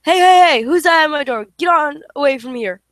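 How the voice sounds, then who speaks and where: A woman voicing a stubborn old mountain man who hollers in rough, folksy speech. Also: high-pitched, animated, fairly quick, fairly bright tone, dry recording, studio quality, pitched above the performer's own voice. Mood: frightened hollering.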